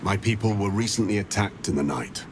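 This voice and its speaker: Deep-voiced, grave monster hunter, acted by a man. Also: expressive, background noise, lightly acted. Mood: grave, solemn. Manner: measured, formal, storytelling speech. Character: grave, courteous male monster hunter on a sworn quest. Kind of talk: dramatic video game acting.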